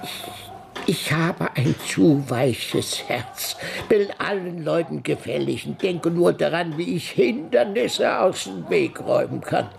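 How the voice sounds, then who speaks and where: An actor playing a timid, evasive, grumbling old village priest in a radio play, hesitant and whining. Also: male voice, on the high side, animated, slow pace, creaky, full character voice. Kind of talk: German radio play acting.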